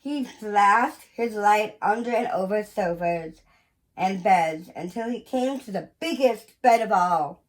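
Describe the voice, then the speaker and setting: A woman reading a children's picture book aloud in an expressive, deliberate storytelling manner with pauses. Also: medium pitch, rough recording. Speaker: museum educator reading aloud.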